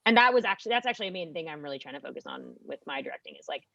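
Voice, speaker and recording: A young adult podcast guest chatting casually, rambling with lots of "like" and restarts. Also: female voice, sits low, expressive, rapid-fire, vocal fry, bright, forceful tone, no echo. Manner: rambling, heavy use of "like". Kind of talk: casual chat. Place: college radio studio. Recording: dull lo-fi dry sound.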